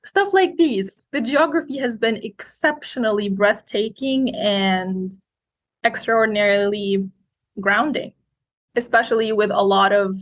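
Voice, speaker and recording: A woman in her twenties, raised in Egypt, answering interview questions in a talkative, outgoing, expressive way. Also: mid-range voice. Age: 20s. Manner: expressive, quotes people in lively voices. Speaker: guest.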